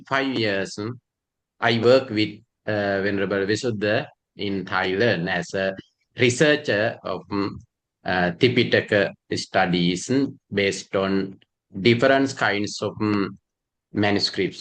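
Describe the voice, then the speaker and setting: Slow, halting man on a video call. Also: fairly low pitch, fairly bright tone, rough recording. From Sri Lanka. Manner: halting, many hums and uh fillers. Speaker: panelist, university lecturer. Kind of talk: formal self-introduction on a video call.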